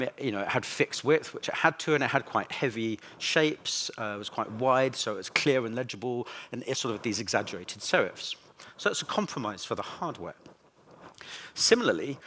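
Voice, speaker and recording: Guy presenting a tech talk at a conference, mid pitch, dry humour, opinionated, pauses for emphasis. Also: a bit bright. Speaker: conference speaker.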